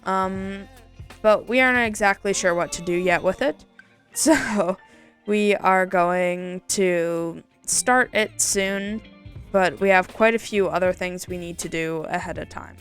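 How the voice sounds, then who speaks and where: A woman's voice: medium pitch, slow. Woman, scratchy voice, laid-back rambling game commentary, faint guitar music behind. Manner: relaxed, rambling, many ums. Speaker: gaming channel host. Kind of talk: casual game commentary over a time lapse.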